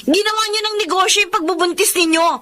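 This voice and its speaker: A woman's voice: extremely high pitch, fairly even intonation, fast, sharp tone. A woman playing an earnest, burdened young daughter in a Filipino radio drama, speaking emotively. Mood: upset, reproachful. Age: young adult. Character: burdened, earnest eldest daughter, grateful yet reproachful. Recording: noisy radio drama track.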